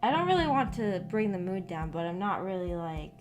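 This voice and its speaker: A woman's voice: on the low side, very animated, brisk, darkish tone. A young adult woman chatting casually on a live stream, rambling and hesitant, trailing off mid-thought. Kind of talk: casual rambling livestream chat. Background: background music with guitar.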